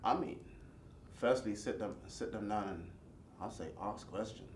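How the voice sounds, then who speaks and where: A British man with a stammer talks through a casual interview chat. He halts and repeats himself and often laughs things off. The room is echoey, with faint background hiss. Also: lowish voice. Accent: British English. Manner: halting, repeats words, laughs off questions. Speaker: guest.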